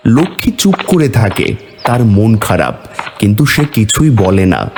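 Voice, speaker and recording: Male narrator for cartoon fairy tales: lowish pitch, animated scripted delivery, faint music bed. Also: unhurried.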